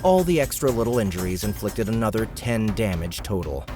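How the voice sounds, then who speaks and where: A man narrating a game-world audiobook in a steady, measured storytelling voice. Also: on the low side. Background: background music.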